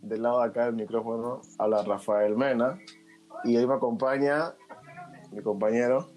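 A man's voice: medium pitch, steady tone, moderate pace, darkish tone, faint music behind, rough recording. A man in his twenties with a Panamanian accent, hosting a casual podcast chat with friends in a slangy, joking way. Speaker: podcast host.

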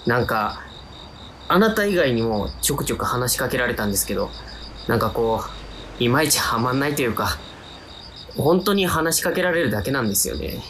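An unremarkable young man talks in a flat, resigned, subdued way in a radio play. His pitch is ordinary and his tone mild. Faint noise sits under his voice. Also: a little fast. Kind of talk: radio drama acting and narration.

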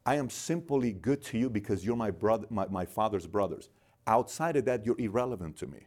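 A middle-aged man born in Iran, guest in an interview, telling animated stories and acting out the dialogue. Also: on the low side, brisk. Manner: animated storyteller, acts out dialogue in quotes. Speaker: guest, business founder. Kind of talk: storytelling interview.

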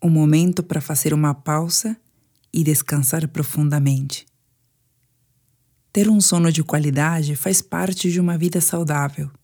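A woman's voice: deep voice, steady tone, a little slow, mellow. A woman with a Brazilian Portuguese accent guides a sleep meditation in a soothing, instructive manner.

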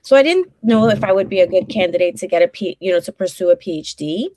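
A woman's voice: mid-range voice, lively intonation, quick pace, a little mellow, no echo. A woman in her forties with a Brooklyn accent, a social worker talking frankly as an interview guest, with many fillers and restarts. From Brooklyn, New York. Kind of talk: casual interview conversation.

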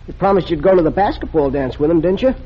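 An excitable high-school boy, with a high, lively, husky voice that swoops up when he gets worked up. It sounds dull and lo-fi, like an old radio broadcast. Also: fast talker. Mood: earnest. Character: eager teenage boy, earnest, excitable. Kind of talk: 1930s radio drama acting.

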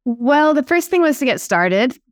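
A woman's voice: sits high, sing-song, unhurried, no echo, studio quality. A woman with a Dutch accent, a startup founder answering podcast questions, upbeat and laying things out step by step. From Netherlands. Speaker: guest, startup CEO. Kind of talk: podcast interview.

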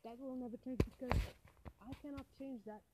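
A woman's voice: sits high, fairly even intonation, a little fast, heavy background noise. A woman from Algeria, an English speaker, chatting casually and emphatically as she gives advice on a solo podcast, often repeating phrases for stress. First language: not English, a non-native speaker. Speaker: podcast host, independent writer.

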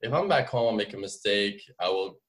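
Podcast guest: man, twenties, French accent, lowish pitch, informal and explanatory. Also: lively intonation, a little fast, fairly bright tone. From France. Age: 20s. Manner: informal, explanatory, frequent "like" and "you know". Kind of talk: casual interview storytelling.

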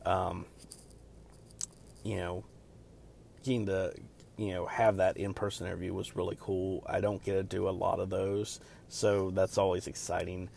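A man hosting a podcast alone, talking in a loud, deep voice and rambling casually with many fillers and restarts. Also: gravelly, slight background noise. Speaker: podcast host. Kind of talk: casual solo podcast chat.

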